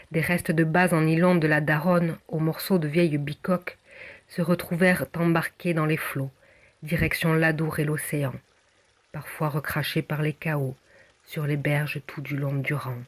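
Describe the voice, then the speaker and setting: A person from southwest France reciting a poem in measured, declamatory phrases with deliberate pauses. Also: mid-range voice, flat delivery. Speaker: poem reader. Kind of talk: recited spoken-word poem.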